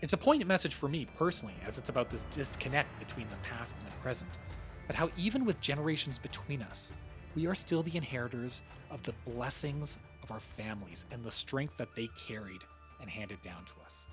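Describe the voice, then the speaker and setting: A man hosting a podcast, reading scripted narration with expressive, dramatic emphasis. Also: medium pitch, brisk, over music. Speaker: podcast host.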